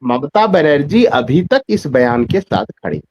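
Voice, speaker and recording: A man's voice: on the high side, sing-song, unhurried, darkish tone, no room sound. A man giving live political analysis as a guest journalist, heard over dull, band-limited audio.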